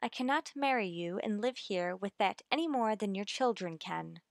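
A woman playing an earnest, anxious woman in love with a widower, in slow, pleading, melodramatic old-time radio suspense style. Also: medium pitch, lively intonation, gravelly, no echo, clean studio sound. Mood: firm, distressed. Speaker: radio drama actor.

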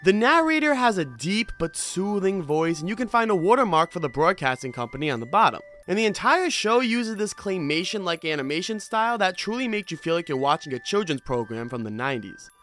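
A man's voice: sits high, very animated, brisk, hardly any pauses, fairly bright tone, faint music behind. A man hosting a video review, giving scripted commentary in an informal storytelling manner. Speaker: host of a horror video review channel. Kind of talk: scripted commentary with casual asides.

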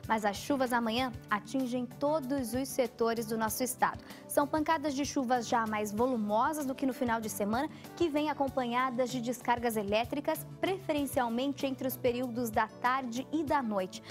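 A young woman reads the weather forecast in a fluent, lively delivery. Her voice is clearly high, and a faint music bed plays behind her in the studio. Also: moderate pace, few pauses. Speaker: weather presenter. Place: TV studio in front of a weather graphic.

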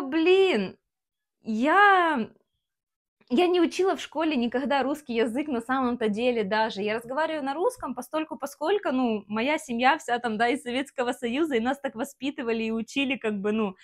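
A woman's voice: high-pitched, moderate pace. A young Ukrainian woman in her twenties, a vlogger, talking frankly to the camera in her kitchen on a lapel mic, with a Ukrainian accent and lots of fillers. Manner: frank, ranting and gesturing, many fillers. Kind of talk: casual talk to camera. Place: home kitchen, indoors.

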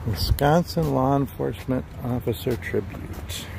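A man's voice: medium pitch, measured pace. A middle-aged man, chatting casually and folksily in a rambling outdoor vlog. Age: middle-aged, 50s. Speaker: vlogger, truck driver. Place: outdoors at a highway rest area. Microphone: handheld phone or camera at arm's length. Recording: outdoor handheld recording with clear background noise.

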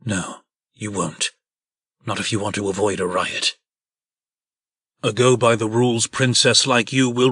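A man playing a pompous, raspy talking pig. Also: mid-range voice, sharp tone, dry recording, studio quality. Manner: pompous, indignant. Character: belligerent, self-important talking pig who runs a farm. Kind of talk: comic dub character acting.

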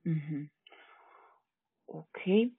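Foreign accented woman on a tinny phone line, non-native german, bit husky, call centre sales, keeps double checking stuff. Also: deep voice, lively intonation, very slow, dry recording. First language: not German. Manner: hesitant, checking and repeating details.

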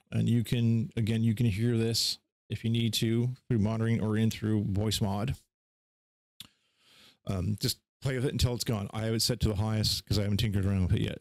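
A man's voice: on the low side, a little mellow, no room sound. Dry-sounding man giving casual tech instructions. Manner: informal, instructional, step by step. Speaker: tutorial host. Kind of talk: casual unscripted screen-share software walkthrough.

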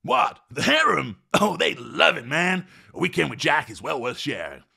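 A man putting on a loud, cocky young daredevil who whoops his lines in a high, bouncing voice that swings wildly up and down. He sounds breezy and oblivious, all slang and swagger, with a bright, edgy tone and a little gravel underneath. It is a storybook reading. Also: sing-song, moderate pace, heavily acted. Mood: brash. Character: brash cocky young male thrill-seeker, boastful and oblivious.

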